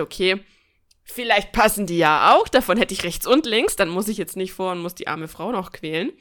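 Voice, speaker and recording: A woman telling personal stories in a casual, joking and colloquial way, as a podcast host. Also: fairly high pitch. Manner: chatty, colloquial, expressive and joking. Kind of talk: casual chat, personal storytelling.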